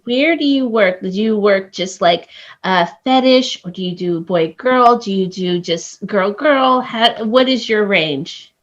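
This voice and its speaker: A woman's voice: medium pitch, animated, unhurried, highs cut off. A young adult woman hosting a podcast interview, chatty and giggly, often repeating words as she talks. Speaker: podcast host. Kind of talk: casual interview chat.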